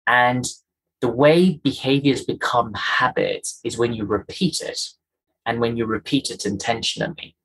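A man's voice: medium pitch, unhurried, fairly bright tone, slightly rough, poor audio. A man from Kenya, a guest in an interview, explaining his ideas earnestly, often in lists of rhetorical questions. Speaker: guest, culture and inclusion executive.

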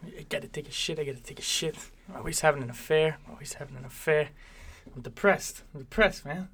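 A man's voice: fairly high pitch, fast, fairly bright tone, slightly rough. A man voicing a gloomy Italian-American mobster who says every line twice, in a put-on Italian accent. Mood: mopey. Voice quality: repeats each line twice, mopey.

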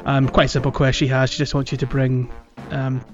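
Slightly muffled higher-voiced man chatting. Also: monotone, brisk, over music. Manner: jokey, prone to chuckling. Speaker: podcast host. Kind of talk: casual gaming podcast chat.

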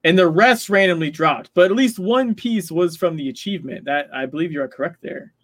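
Man chatting about games, higher-pitched, dry sound. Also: brisk, fairly bright tone, no room sound. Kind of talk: casual gaming discussion.

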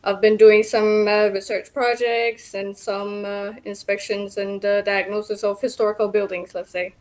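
A young woman from Ethiopia, a civil engineer answering radio interview questions with many pauses and fillers. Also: medium pitch, level tone, darkish tone, dull audio.

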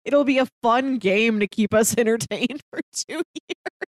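A woman's voice: fairly high pitch, unhurried, dry recording, studio quality. A woman co-hosting a podcast, casually discussing a movie in a chatty way and laughing easily as she talks. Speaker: podcast co-host.

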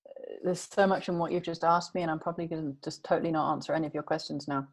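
A woman being interviewed, talking earnestly and slowing to stress key words. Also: deep voice, steady tone. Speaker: guest. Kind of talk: reflective interview talk.

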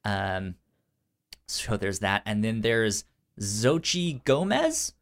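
A man co-hosting a casual pop-culture news chat, stuttering on sounds and syllables, with halting, informal phrasing. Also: on the low side, very animated. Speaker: podcast co-host.

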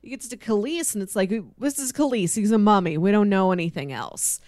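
A woman's voice: medium pitch, brisk, a little mellow, dry recording, studio quality. A woman co-hosting a podcast, casually discussing comics in a playful way, often acting out paraphrased dialogue. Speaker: podcast co-host.

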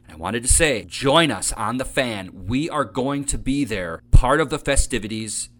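A man's voice: mid-range voice, lively intonation, normal speed, fairly bright tone. An American man hosting a podcast, talking casually and enthusiastically as he promotes events, repeating phrases for emphasis. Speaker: podcast host.

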